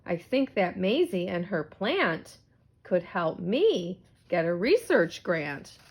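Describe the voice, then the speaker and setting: A woman's voice: lowish voice, big pitch swings, takes it slowly, breathy edge, full character voice. A woman voicing a bossy, self-important male doctor in a children's rhyming story.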